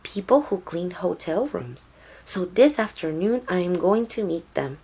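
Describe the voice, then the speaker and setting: A proud, loving mother read aloud by a woman speaks slowly in a fairly low voice. She is gentle and earnest, a working activist in a children's storybook. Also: expressive, breathy edge, full character voice. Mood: resolute.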